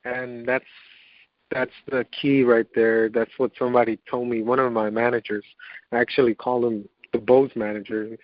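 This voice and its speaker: Man, dry lo-fi call sound, mid-pitched, casual motivational chat, anecdotal, informal, self-questioning. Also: level tone, normal speed, no echo. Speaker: participant in a self-improvement conference call. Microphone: conference call line. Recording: dull, lo-fi conference call audio.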